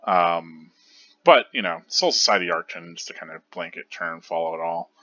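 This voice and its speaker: A man's voice: deep voice, normal speed, bright, forceful tone, dull audio. A man hosting a manga reread show, giving casual analytical commentary in an enthusiastic, rambling way with lots of fillers and restarts.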